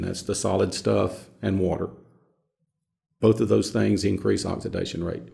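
A gravel-edged, mellow man in middle age speaks from deep in his range. He explains technical matters in a panel discussion, stressing points by repeating words. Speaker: filtration subject matter expert. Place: small conference room, indoors.

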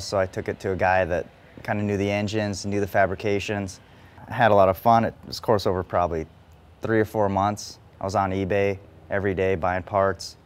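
A man's voice: lowish voice, clean tone. A man talking casually and informally as he shows off his motorcycle. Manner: informal, plain-spoken. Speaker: motorcycle owner. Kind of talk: casual show-and-tell.

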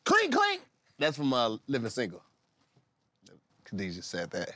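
Wildly animated man with a bright voice. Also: mid-range voice, a little slow, no room sound. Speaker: comedian contestant. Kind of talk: casual comic banter. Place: podcast studio.

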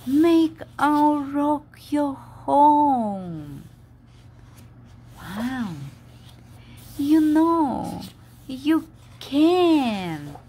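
Very slow, expressive woman reading aloud. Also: high voice, slight background noise. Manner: slow, dramatic, expressive delivery with simple explanations. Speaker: picture-book reader. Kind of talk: read-aloud storytelling with asides to young listeners. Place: indoors at a table.

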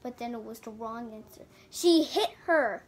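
A child improvising a fairy tale with toys, telling it dramatically in halting sentences. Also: medium pitch. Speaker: storyteller. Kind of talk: improvised storytelling of a toy play fairy tale. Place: indoors in a room at home.

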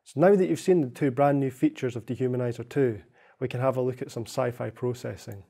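Mellow young man giving a tutorial. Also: medium pitch, a little fast, gravelly, husky. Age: young adult. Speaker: software demonstrator. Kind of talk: tutorial narration.

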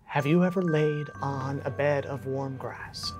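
A man's voice: mid-range voice, a little slow, over music, lightly acted. A man acting as an earnest, hesitant middle-aged struggling writer in a film drama. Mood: eager, earnest. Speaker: actor in a short film. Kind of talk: film dialogue.

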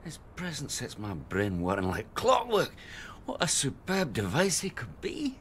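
An actor playing a cold, scheming political intellectual in a radio drama, musing and fussy. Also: male voice, medium pitch, wildly swooping pitch, unhurried, a bit bright, a little hiss or hum, full character voice. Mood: calculating.

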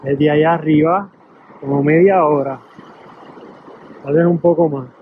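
A man's voice: a little high, steady tone, a little slow. A man narrating a travel vlog in a casual, chatty way, speaking into a handheld camera as he walks. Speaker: travel vlogger. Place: mostly outdoors on mountain hiking trails. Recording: dull, noisy outdoor handheld recording.

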